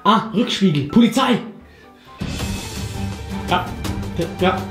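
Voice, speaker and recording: Young man, excited slangy talk, echoey room. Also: sits high, takes it slowly. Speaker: player guessing in a pantomime game. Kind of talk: casual joking game chat among friends. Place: echoey room indoors at home. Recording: echoey room with music behind.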